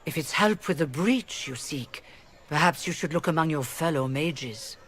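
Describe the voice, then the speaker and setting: Casting: a composed, diplomatic mage leader, measured delivery, faint ambience behind. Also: female voice, sits low, expressive, slight background noise. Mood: calm, persuasive. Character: poised mature female mage leader.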